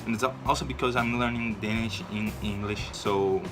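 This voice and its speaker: A man's voice: lowish voice, steady tone, normal speed, music in the background. A man in his twenties from Brazil, speaking English with a Brazilian Portuguese accent in a casual personal vlog, hesitant and shy, with frequent restarts. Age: 20s. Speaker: vlogger.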